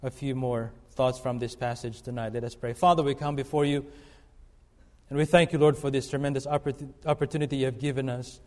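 A middle-aged man from the Philippines, preaching a sermon in an earnest, halting way full of fillers and restarts. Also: medium pitch, fairly even intonation, a little mellow. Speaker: guest preacher. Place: church sanctuary. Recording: long reverb of a church hall.

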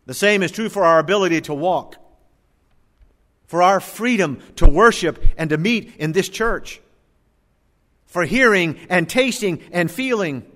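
A middle-aged male pastor preaching a sermon in a church, moving between loud emphatic bursts and quiet, low asides. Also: expressive, a little slow, pauses often. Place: church sanctuary. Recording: church hall reverb.

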